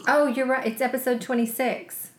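A woman's voice: sits high, fairly even intonation, normal speed. Chatty woman cohosting a podcast, jokey, laughs a lot, room has a lot of echo. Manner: chatty, laughs easily, self-mocking. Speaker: podcast co-host, chef. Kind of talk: casual podcast chat. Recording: roomy echo.

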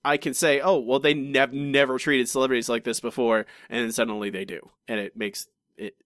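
A man's voice: medium pitch, brisk, dry recording. Dry, chatty podcast host man. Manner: chatty and animated, many restarts and asides. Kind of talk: casual podcast chat, reading listener comments.

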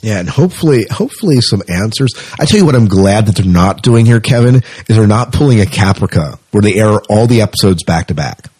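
A male podcast host with a fairly low, husky voice. He chats casually through news items in a conversational, rambling way. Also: lively intonation, brisk, few pauses, darkish tone. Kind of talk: casual sci-fi news chat.

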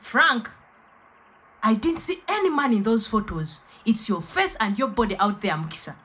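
A woman's voice: a little high, animated, normal speed, fairly bright tone, a little hiss or hum. A woman playing an outraged mother in a radio play, scolding her grown daughter with reproachful questions. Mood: scornful. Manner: reproachful, interrogating, swooping exclamations.

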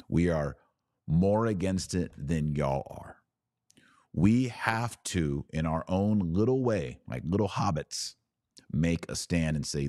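A man's voice: a bass voice, darkish tone, dry recording, clean studio sound. An American man speaking as a podcast host, giving earnest, emphatic religious commentary that sits between a sermon and live-stream chat. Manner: earnest, emphatic, builds lists phrase by phrase.

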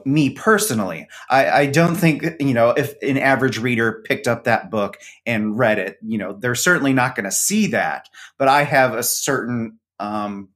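A man's voice: medium pitch, a bit bright. A man talking as a guest author in a casual interview, hesitant and full of fillers. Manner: hesitant, many fillers and restarts.

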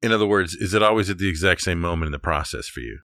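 A man's voice: low-pitched, rapid-fire, sharp tone, no echo, clean studio sound. A man co-hosting a casual podcast conversation as an architect. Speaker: podcast co-host.

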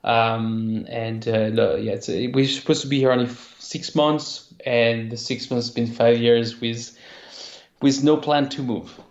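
A man with a French accent, a game company founder talking in a casual interview, halting with many restarts. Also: medium pitch, steady tone, darkish tone, reverberant, muffled recording. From France. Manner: halting, many restarts and fillers, laughs easily. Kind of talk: casual interview over a call.

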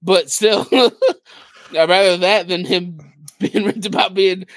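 Higher-pitched man, wry podcast banter. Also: steady tone, slow, no room sound. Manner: wry, sarcastic, jokey. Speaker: podcast co-host. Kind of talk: casual banter.

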